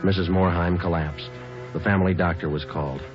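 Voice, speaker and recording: A man acting out a stern police detective in an old radio drama, very deep-voiced and clipped. He states facts flatly, without emotion. Music plays behind the dull, muffled broadcast sound. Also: fairly quick, natural voice. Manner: clipped, matter-of-fact, deadpan. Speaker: detective narrator. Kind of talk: 1940s radio drama narration.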